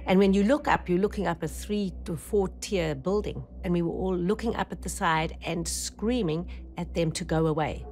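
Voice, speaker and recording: Woman, urgent halting retelling. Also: lowish voice, a little mellow, soft background music. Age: middle-aged. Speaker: shipwreck survivor interviewee. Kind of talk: documentary testimony. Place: dark indoor interview studio.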